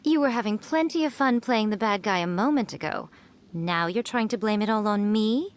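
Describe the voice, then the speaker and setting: A poised, sly fox-spirit priestess, played by a woman in a video game, with a mid-pitched, composed voice and a lightly teasing edge. A faint hiss sits behind her. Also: expressive, moderate pace, natural voice. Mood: amused.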